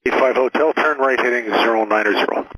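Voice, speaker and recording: A man working as an air traffic controller, giving halting, procedural instructions over aviation radio. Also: mid-range voice, a bit bright, slightly rough, no echo, rough recording. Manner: halting, procedural phrasing with frequent hesitations.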